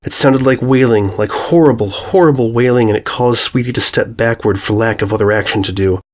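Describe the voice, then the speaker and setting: A man's voice: mid-range voice, brisk. A man giving a scripted narration of a horror fan fiction story.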